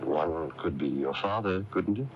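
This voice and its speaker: A deep-voiced, insistent questioner. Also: male voice, animated. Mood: quietly pressing. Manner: deliberate questioning, pressing for answers. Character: probing, insistent male confidant, firm and knowing. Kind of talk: radio mystery drama acting. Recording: muffled 1980s AM radio tape, faint music.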